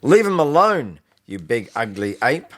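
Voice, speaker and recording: A man's voice: mid-range voice, sing-song, measured pace, a bit bright, pitched above the performer's own voice. A man voicing a cheeky, nervy schoolboy hero in an audiobook, speaking with urgent defiance. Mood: defiant.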